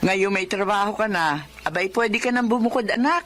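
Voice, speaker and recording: A woman's voice: medium pitch, moderate pace, loud music behind the voice, natural voice. A middle-aged woman playing a worried mother who pleads with her daughter in a Filipino radio drama. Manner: fretful, pleading.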